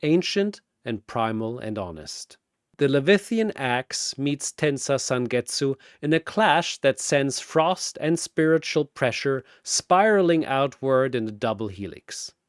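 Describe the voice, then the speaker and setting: A man narrating a scripted battle story in a theatrical way, pausing for emphasis. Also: medium pitch, animated, clean tone, dry recording, clean studio sound.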